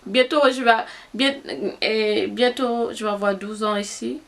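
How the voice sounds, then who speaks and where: A woman's voice: a little high, steady tone, measured pace, gravelly. A young woman from Haiti with a Haitian accent, Haitian Creole native, chatting casually to camera and thinking aloud as she answers questions. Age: young adult. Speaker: YouTuber.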